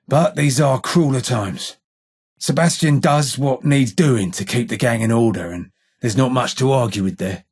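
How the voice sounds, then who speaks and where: An actor voicing a gruff, sardonic old blind ghoul in a video game, with an English accent, a rough husky voice and blunt, salty slang. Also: male voice, mid-range voice, darkish tone. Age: older. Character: gruff, sardonic old blind ghoul, gang boss's right-hand man. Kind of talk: video game character dialogue.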